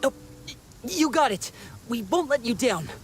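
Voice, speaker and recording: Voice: sits high, expressive, moderate pace. An actor playing an earnest young trainee archer in a video game, enthusiastic and studious in manner. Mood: eager, encouraged. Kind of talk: scripted video game character acting.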